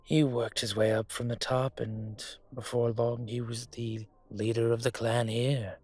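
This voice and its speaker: A man playing a brooding, courteous and vengeful dragon mafia boss in an intimate audio roleplay, speaking in a measured, hesitant way with a husky voice. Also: medium pitch, little pitch movement, a little mellow, close to an everyday voice. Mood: reflective. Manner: measured, hesitant, pausing often. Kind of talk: audio roleplay drama, intimate dinner conversation.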